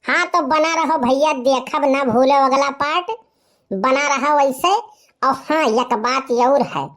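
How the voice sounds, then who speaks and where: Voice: high-pitched, takes it slowly, a bit bright. A performer voicing a boastful young village slacker in a comic cartoon story, with a rustic eastern Uttar Pradesh Awadhi accent. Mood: defiant.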